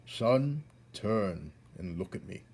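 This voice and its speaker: A man's voice: on the low side, animated, slow, darkish tone, breathy edge, full character voice. A man voicing a stern, pompous old head mouse who declaims formally and indignantly in a storybook. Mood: solemn, formal.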